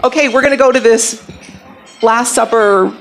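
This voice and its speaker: A woman's voice: on the high side, moderate pace, a crowd in the background. A woman emceeing a club lunch meeting with casual, chatty announcements and a self-deprecating manner. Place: banquet room at a lunch meeting.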